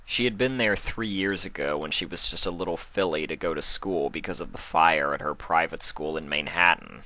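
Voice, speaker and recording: A man's voice: rumbling low, moderate pace, pushed and bright, dry recording. A man reading scripted story narration as the narrator of a fan fiction.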